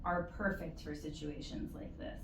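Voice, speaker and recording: A woman giving measured, explanatory advice as an interview guest, in a conversational way with stretched emphasis. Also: sits low, steady tone. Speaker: interview guest, university postdoctoral support staff. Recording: roomy echo, distant mic, faint noise.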